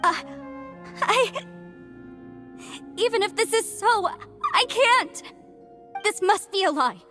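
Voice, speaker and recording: An actress playing a devout young woman in a game, upset and defiant, speaking in halting bursts. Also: very high-pitched, animated, sharp tone, soft background music, exaggerated voice. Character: devout young woman, clinging to her faith. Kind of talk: video game voice acting.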